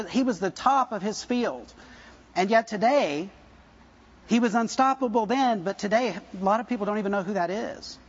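A man's voice: high voice, breathy edge, dull audio. A man in his fifties preaching a sermon to his church, talking casually, joking and asking the congregation questions. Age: 50s. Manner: conversational, jokey, asks the congregation questions. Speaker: pastor.

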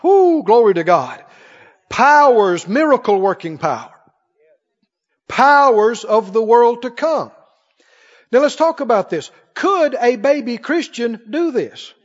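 Male preacher, high-pitched voice, emphatic Bible teacher firing short questions at the congregation; long church reverb. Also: very animated, slow pace. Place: large church hall, before a congregation. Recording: muffled, echoing large hall.

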